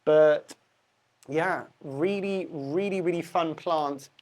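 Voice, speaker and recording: A woman's voice: sits low, slow, a little mellow. A woman with a stuffy, bunged-up voice from a cold, chatting casually and rambling a little as she shows houseplants at home. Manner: chatty, rambling, self-correcting with laughs. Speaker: houseplant channel host. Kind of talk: casual chat to camera. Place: indoor plant room at home.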